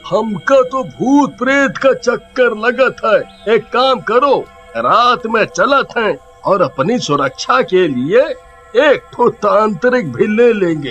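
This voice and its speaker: A man with a rural eastern Hindi belt accent voicing a superstitious, helpful village neighbour in an animated story. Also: very high voice, slow pace, faint music behind, full character voice. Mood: wary, advising. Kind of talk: animated horror story character voice.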